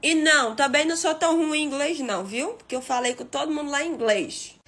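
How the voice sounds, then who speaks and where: A woman, talking casually and defensively to her followers, blunt and expressive. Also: sits high, fast talker. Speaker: reality show participant. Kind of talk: casual social media video.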